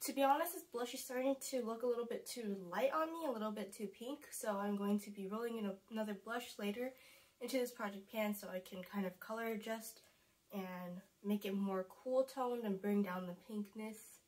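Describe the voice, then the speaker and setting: A woman's voice: mid-range voice, brisk, a little mellow. A young woman with a stuffy, congested voice from a cold, chatting casually to camera as a beauty vlogger, rambling and correcting herself.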